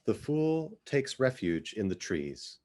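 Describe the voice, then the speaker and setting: A man recites poetry in a dramatic reading. His voice is a little low and very mobile in pitch, and he takes the lines slowly. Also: big pitch swings, darkish tone. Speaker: reader of poetry.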